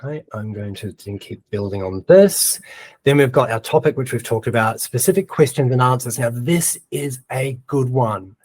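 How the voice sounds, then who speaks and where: A man's voice: mid-range voice, normal speed, darkish tone, no room sound. A man with a New Zealand accent giving a casual screen-share tutorial, chatting and thinking aloud as he types, with plenty of ums. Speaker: presenter of a webinar.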